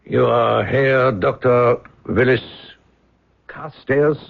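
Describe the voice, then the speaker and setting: An actor playing a formal, probing, faintly sinister German man in a radio mystery, with a German accent and clipped, deliberate phrasing. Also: mid-range voice, gravelly, dry recording. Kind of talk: radio drama acting. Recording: dull, dry radio drama recording.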